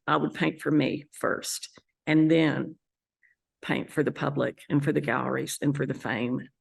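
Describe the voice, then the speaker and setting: A woman's voice: low voice, gravelly. A middle-aged woman from West Texas with a West Texas accent, an artist speaking in an earnest, easygoing, reflective way in a gallery interview. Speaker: landscape painter. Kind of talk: personal interview monologue. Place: art gallery.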